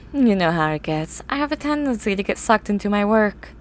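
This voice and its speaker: A woman playing a grumpy, eccentric young horse-girl scientist in an ASMR roleplay, with formal, haughty, drawn-out wording. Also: mid-range voice, big pitch swings, darkish tone, close to an everyday voice. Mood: dry, smug. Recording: clear background noise, ambient sound.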